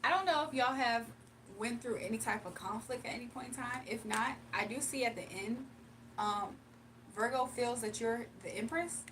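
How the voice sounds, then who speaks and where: A young woman with a fairly high voice chats loosely with her live viewers and laughs easily. She sits a little away from the mic, and faint room noise runs under her voice. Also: normal speed, recorded from a distance. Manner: chatty, laughs often, reads chat aloud. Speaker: livestream tarot reader. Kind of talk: casual live chat and tarot reading.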